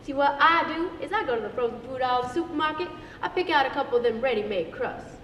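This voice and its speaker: Brash old Southern woman, played by a young-sounding female, very high, folksy, teasing, echoey hall stage reading. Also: lively intonation, quick pace, a bit bright. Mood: boastful. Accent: American Southern. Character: brash, sassy old Southern woman, much-married and boastful. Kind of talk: amateur stage play reading. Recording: echoey hall, distant mic, faint noise.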